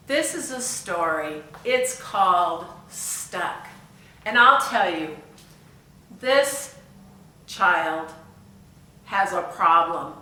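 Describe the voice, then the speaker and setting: Storytime reader: woman, bright clear-cut tone, expressive and chatty with kids, heard at a distance from the mic in a roomy space. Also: medium pitch, a little hiss or hum. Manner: expressive, chatty asides and questions to young listeners. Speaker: volunteer storyteller. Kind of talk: read-aloud picture book storytime for children. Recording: echoey room, far from the mic.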